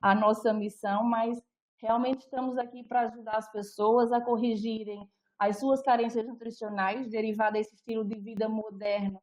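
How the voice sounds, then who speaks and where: A young Brazilian woman speaks with a Brazilian Portuguese accent, presenting on nutrition in a friendly, explanatory tone. Her voice sits a little high, and she leans on fillers as she goes. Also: little pitch movement, moderate pace, darkish tone, rough recording. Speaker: nutritionist giving a talk. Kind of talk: online presentation.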